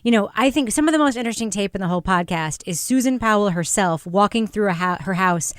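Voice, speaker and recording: A woman's voice: medium pitch, lively intonation, fast talker, clean tone, no room sound. Dry-recorded woman hosting a casual panel. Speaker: podcast host and critic. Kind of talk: casual panel review discussion.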